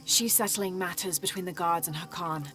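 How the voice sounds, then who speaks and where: Earnest young female adventurer played by a woman, slightly low voice, measured and sincere, game cutscene over clear music. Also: close to an everyday voice. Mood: gentle. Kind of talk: video game cutscene acting.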